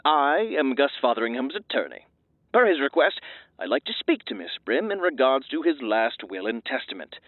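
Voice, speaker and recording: A man's voice: mid-range voice, big pitch swings, normal speed, dry recording. A man playing a polite, hesitant, formal attorney in a comedy audio drama, heard through a telephone filter. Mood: apologetic, formal. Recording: tinny band-limited phone line.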